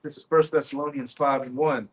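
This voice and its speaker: A man's voice: mid-range voice, steady tone, fairly quick. A man reading scripture aloud and adding informal commentary as a street preacher.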